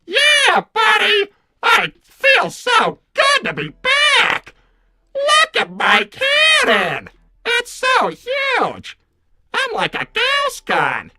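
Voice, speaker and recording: A man voicing a boastful, dim, excitable male cartoon robot in a brash, showy livestream roleplay, with stuttering repeats. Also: very high voice, drawn-out words, vocal fry, exaggerated voice. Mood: triumphant, loud. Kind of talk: improvised in-character livestream roleplay.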